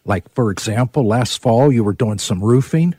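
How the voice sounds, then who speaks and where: A man's voice: mid-range voice, normal speed, mellow, husky, dry recording. A man hosting a casual interview, chatty and chuckling as he introduces and questions his guest. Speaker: host.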